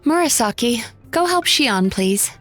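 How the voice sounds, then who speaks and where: A woman voicing a gentle, earnest young female therapist spirit in a video game, polite and composed as she explains. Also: a little high, lively intonation, measured pace, faint music behind. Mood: polite request.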